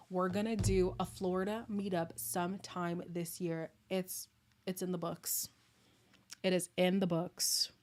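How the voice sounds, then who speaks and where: Woman streamer, casual live chat host, chatty and animated, slightly worn, hoarse voice. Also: mid-range voice. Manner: chatty, excitable, laughs often. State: losing her voice, a little hoarse. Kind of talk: casual livestream chat with viewers.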